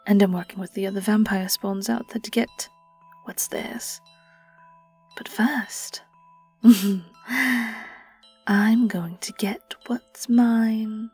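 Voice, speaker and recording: A woman playing a sly, vengeful vampire in an audio roleplay, with a British accent, a breathy, often whispered voice and a taunting, theatrical delivery. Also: medium pitch, steady tone, takes it slowly, long pauses, darkish tone, faint music behind. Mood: gloating, menacing. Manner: taunting, theatrical, lingering on words. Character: vengeful young female vampire, sly, gloating and predatory. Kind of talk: dramatic audio roleplay monologue.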